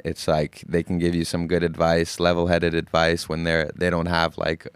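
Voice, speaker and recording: A young man from Finland with an American accent, calmly explaining things as an interview guest and often repeating words. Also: low voice, moderate pace, smooth, dry recording. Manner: calm, even explanations with frequent word repeats. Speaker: guest, golf caddie. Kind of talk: casual interview answers.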